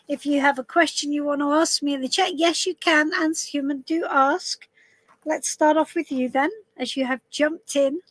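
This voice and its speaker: A middle-aged woman from southwest London, her voice a little husky, chatting casually to viewers on a live stream from inside a camper van, calling people darling. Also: high-pitched, no echo, poor audio. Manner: chatty, warm greetings to viewers, calls people darling and love. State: a bit husky voice. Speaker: tarot reader hosting a live stream.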